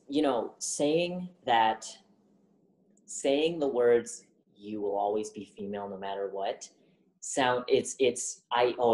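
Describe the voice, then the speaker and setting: A young woman with a deep voice from testosterone, speaking as a guest in a reflective, halting interview conversation. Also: a little mellow, reverberant, rough recording. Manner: halting, thoughtful, many fillers and restarts.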